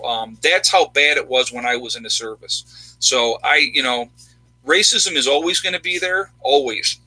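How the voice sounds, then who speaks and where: A man's voice: mid-range voice, pushed and bright, breathy edge, no room sound, rough recording. A man in his fifties from upstate New York, talking bluntly and emphatically in a casual chat with viewers. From New York State, USA. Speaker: channel host, army veteran.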